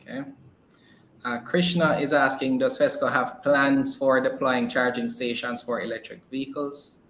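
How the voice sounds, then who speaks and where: A man hosts an investor call, reading out questions in a medium-pitched voice. The sound is roomy and echoey, with some faint noise behind him. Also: moderate pace, a bit bright. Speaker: host.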